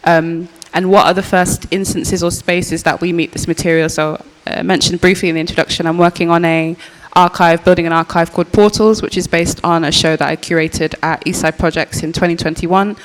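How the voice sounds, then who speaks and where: A young woman from with a very quiet voice, speaking reflectively on a panel in a large public hall. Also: on the low side, flat delivery, fairly quick. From Birmingham, England. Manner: reflective, searching, with fillers and restarts. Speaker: panel speaker, curator and archivist. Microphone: panel microphone. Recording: reverberant hall.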